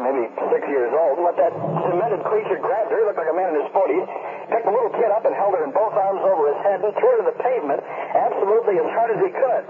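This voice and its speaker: An actor playing a terrified, urgent radio reporter giving an eyewitness account in a 1970s radio drama, over a two-way radio. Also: male voice, sits high. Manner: breathless, urgent eyewitness storytelling. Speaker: news reporter. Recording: tinny, very noisy two-way radio transmission.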